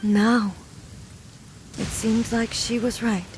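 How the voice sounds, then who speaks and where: A woman playing a regal, gracious ruler in a video game, speaking in a calm, formal, measured way. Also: fairly high pitch, a little hiss or hum, low-quality recording. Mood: reflective. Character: composed, regal female ruler, reflective and gracious.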